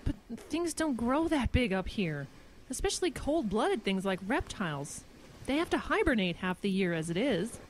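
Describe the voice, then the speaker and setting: A woman's voice: fairly high pitch, big pitch swings, moderate pace, a little hiss or hum. A woman acting a friendly, conciliatory character in an audio drama, with an earnest manner. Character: friendly, conciliatory woman, old schoolmate of a scientist.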